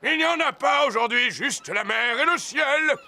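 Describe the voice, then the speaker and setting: A man voicing a jolly, boastful old grandpa rabbit in a French cartoon dub, loud and full of tall tales. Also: very high-pitched, lively intonation, moderate pace, heavy background noise. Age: older. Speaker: gym teacher, lighthouse keeper. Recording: music bed under the dialogue.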